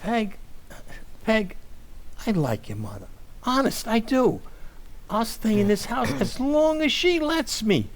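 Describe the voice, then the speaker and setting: A man acting a hapless, soft-hearted working-class husband in a radio comedy, with an American accent and a hesitant, stammering, blustery delivery. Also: sits high, sing-song, takes it slowly, pauses often, full character voice. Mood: sheepish, pleading.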